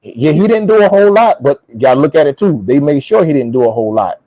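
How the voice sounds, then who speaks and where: A man's voice: fairly high pitch, lively intonation, fast, mellow, no room sound, rough recording. A man in an opinionated, casual political chat, speaking bluntly and colloquially, emphatic and often repeating his phrases for effect.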